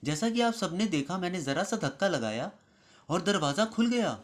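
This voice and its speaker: A humble storybook manservant played by a man narrator, speaking in a fairly high voice. He is loyal and honest, polite in manner, and explains himself calmly. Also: normal speed. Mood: calm explaining. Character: loyal, honest male servant, humble and calm. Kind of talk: storybook character voice.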